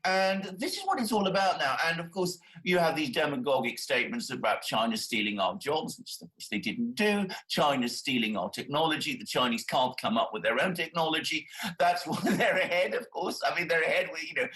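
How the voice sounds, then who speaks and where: Male pundit, bright forceful voice on the higher side, emphatic and repetitive in argument. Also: lively intonation, brisk, low-quality recording. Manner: emphatic, repeats words as he argues. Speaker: panel commentator. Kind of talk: live political commentary.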